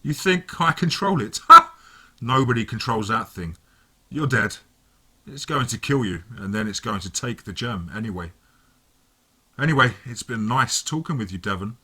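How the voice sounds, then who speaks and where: A man voicing a sinister male villain who gloats calmly over the phone. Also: medium pitch, slightly rough, heavily acted. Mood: gloating. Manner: calm, mocking, drawn-out menace.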